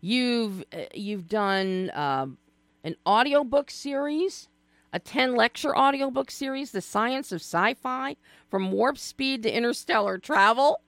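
Middle-aged woman radio host, gravelly voice, playful and chatty, dry close sound. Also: a little high, unhurried, fairly bright tone, no echo. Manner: playful, chatty, with filler words and side remarks. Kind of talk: casual radio interview.

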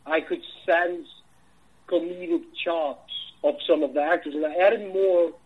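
A man from Iceland with an Icelandic accent, a film director answering interview questions in a halting way, full of restarts. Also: fairly high pitch, phone-quality sound, a little hiss or hum. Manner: halting, many restarts and fillers.

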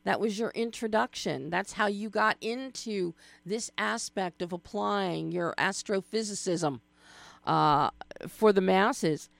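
A woman's voice: medium pitch, slow pace, creaky, no echo. A middle-aged woman hosting a radio interview, playful and chatty, with filler words and side remarks. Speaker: radio host.

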